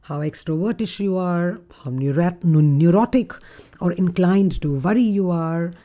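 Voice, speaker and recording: Woman w a deep gruff low voice, mellow tone, chill solo podcast talk. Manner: measured, thoughtful delivery with occasional fillers. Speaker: podcast host and relationship coach. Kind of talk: reflective solo podcast monologue.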